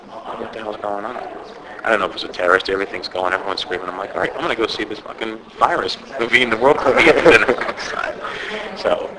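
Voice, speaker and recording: Man, low voice, chatty eager interviewer, crowd noise in the room. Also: fast talker, rough recording. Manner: chatty, enthusiastic, rambling with likes. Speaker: film review interviewer. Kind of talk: casual press interview.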